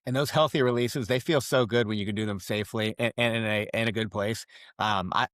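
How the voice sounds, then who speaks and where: Raspy man, emphatic and casual. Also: on the low side, fairly quick, fairly bright tone, no echo, studio quality. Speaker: podcast host. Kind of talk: casual conversation.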